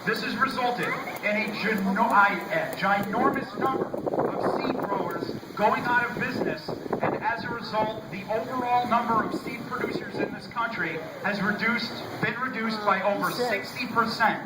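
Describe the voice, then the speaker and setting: American male politician, loud and high-voiced, speech at outdoor rally, distant mic, heavy noise. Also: moderate pace, few pauses, echoey room. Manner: loud-voiced, conversational with hesitations at first. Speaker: state representative giving a speech. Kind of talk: public speech at an outdoor rally, from prepared remarks. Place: outdoors at a rainy-day rally. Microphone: public-address microphone, recorded from a distance.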